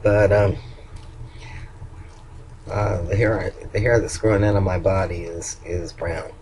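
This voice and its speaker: An older man talking in a very deep voice that turns a little nasal at times and sounds hoarse. He speaks haltingly, with many ums, pauses and restarts. A faint room ambience sits behind him. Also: steady tone, a little mellow, a little hiss or hum, low-quality recording. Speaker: vlogger. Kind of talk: casual personal video update.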